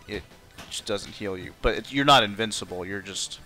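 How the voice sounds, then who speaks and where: A man's voice: on the low side, moderate pace, sharp tone. A man chatting casually as he streams and plays a video game, with game music behind him. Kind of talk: casual chat while playing a video game.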